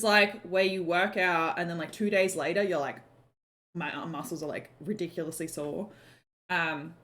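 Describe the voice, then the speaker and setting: A young woman, chatting casually on a live stream, filling her talk with "like" and "um", her voice a little croaky. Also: fairly low pitch, fairly bright tone. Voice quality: slightly croaky. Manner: chatty, lots of "like" and "um", swears casually.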